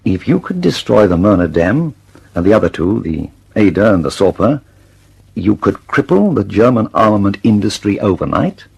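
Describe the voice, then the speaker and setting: A man's voice: fairly low pitch, very animated, moderate pace, vocal fry, slightly rough, exaggerated voice, rough recording. An actor playing an earnest, impatient British male inventor in a radio drama, speaking emphatically and precisely. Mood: intense, resolute.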